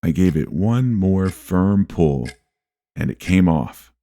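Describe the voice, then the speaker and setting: A man narrating a scripted first-person horror story in a measured, deliberate way with dramatic pauses. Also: low voice, animated, mellow.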